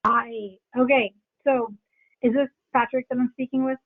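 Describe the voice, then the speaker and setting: A woman's voice: high voice, level tone, normal speed, dry recording. A woman calling in as a new business coach, chatty and slightly hesitant, with many ums. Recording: tinny phone-like line.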